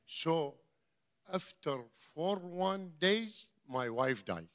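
An older man from Iraq with an Iraqi Arabic accent and Arabic as his first language, speaking in halting, broken English as a church guest sharing his testimony. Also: fairly high pitch, expressive, fairly bright tone. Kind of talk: testimony interview.

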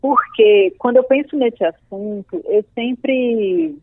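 A woman's voice: fairly high pitch, slow pace, dry recording. A young woman from Paraíba with a Northeastern Brazilian accent, speaking thoughtfully as an interview guest and building careful arguments. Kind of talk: reflective conversation. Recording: dry tinny phone-like call audio.

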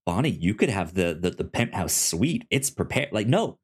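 Male podcast co-host, low voice, casual conversational delivery, halting and stammering over word starts. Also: sing-song, slightly rough. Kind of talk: casual discussion of an audio drama.

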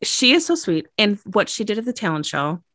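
A woman's voice: medium pitch, sing-song, brisk, a bit bright, no room sound. A woman co-hosting a podcast, telling stories in casual chat, gushing, laughing a lot and swearing freely. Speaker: podcast co-host.

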